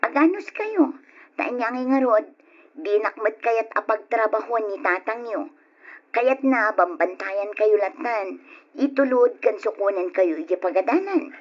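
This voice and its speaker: Discontented, higher-voiced young Ilocano wife. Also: female voice, lively intonation, a little slow. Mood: patient, weary. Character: discontented young wife and mother, nagging and complaining. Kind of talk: old-time Ilocano radio drama acting. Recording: dull, lo-fi old radio recording.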